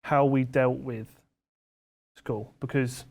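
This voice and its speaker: A man's voice: mid-range voice, fairly even intonation, takes it slowly, a little mellow. A young man co-hosting a podcast, reflective and asking probing questions in casual chat. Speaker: podcast co-host.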